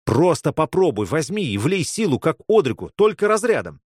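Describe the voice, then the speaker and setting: A man narrating an audiobook acts out a desperate young man in a crisis. He is terse, insistent and angry, pushing his pitch up as he makes demands, in a close, dry recording. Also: animated, normal speed, darkish tone, dry recording, lightly acted. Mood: pleading, urgent.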